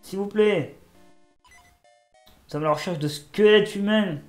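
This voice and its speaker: A man's voice: sits high, a little slow, reverberant, faint music behind. A man with a cold commenting casually on a video game as he plays, joking and talking to himself and the characters. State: has a cold. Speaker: gaming YouTuber. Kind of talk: casual let's-play commentary.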